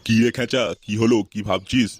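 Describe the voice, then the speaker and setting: A man's voice: mid-range voice, steady tone, a little fast, loud music behind the voice, close to an everyday voice. A man voicing a crude, gossipy building-site foreman in an amateur comedy cartoon, blunt and chummy. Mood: matter-of-fact. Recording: noisy amateur recording.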